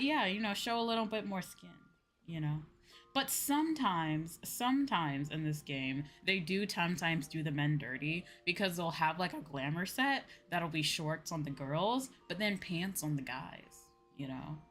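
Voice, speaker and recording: Chatty woman streamer over clear background music. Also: lowish voice, a bit bright. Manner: informal, chatty, peppered with "you know" and "like". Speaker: streamer playing an online game. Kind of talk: casual livestream chat, thinking aloud while playing.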